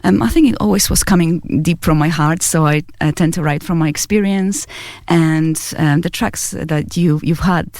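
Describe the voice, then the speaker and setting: A woman in her thirties from Poland with a Polish accent, a singer-songwriter answering interview questions softly in a small radio studio on a boom mic. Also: moderate pace, dry recording. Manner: soft-spoken, laughs often, hesitant fillers. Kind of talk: casual interview chat.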